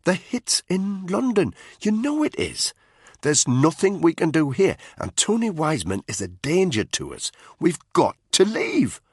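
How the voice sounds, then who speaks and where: A man's voice: fairly high pitch, wildly swooping pitch, unhurried, husky, no echo, clean studio sound, pitched above the performer's own voice, heavily acted. A man voicing a worried male soldier pleading with his friend, in an audiobook reading. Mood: urgent. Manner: pleading, urgent, concerned.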